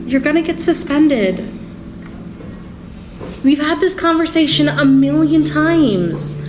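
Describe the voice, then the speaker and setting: Woman playing a higher-voiced, firm schoolteacher. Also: animated, slow pace, a little mellow, a little hiss or hum. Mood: exasperated, firm. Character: firm, exasperated but caring female schoolteacher. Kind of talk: radio play acting.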